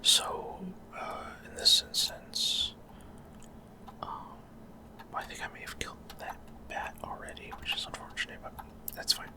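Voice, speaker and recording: A person whispering unscripted ASMR commentary over a video game, explaining things in a hesitant way. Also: measured pace.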